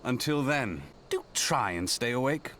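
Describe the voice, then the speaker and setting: A man's voice: mid-range voice, expressive, measured pace, fairly bright tone, slight background noise. A man playing a wry, urbane, perceptive adventurer in a fantasy game, with teasing and theatrically formal diction. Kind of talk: fantasy game cutscene acting.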